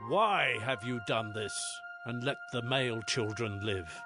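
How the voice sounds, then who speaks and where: A man's voice: mid-range voice, unhurried, music in the background, close to an everyday voice. A man playing a stern, commanding king of Egypt in dramatized scripture audio, declaiming imperiously. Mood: accusing.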